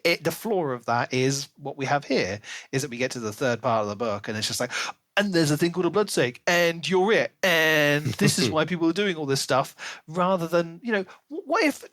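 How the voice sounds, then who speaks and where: A man's voice: on the high side, a bit bright, dry recording. A man co-hosting a podcast, talking casually and emphatically, with firm opinions, in a book discussion. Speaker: podcast co-host reviewing books.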